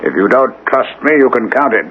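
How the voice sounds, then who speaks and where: A man's voice: medium pitch, expressive, brisk, lightly acted. Guy acting as cryptic insolent mystery man, curt n sardonic, old radio drama, dull sound w/ hiss. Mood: sly, mocking. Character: cryptic, coolly insolent man in a wheelchair, calling himself Mister Mole. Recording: muffled, noisy old radio broadcast.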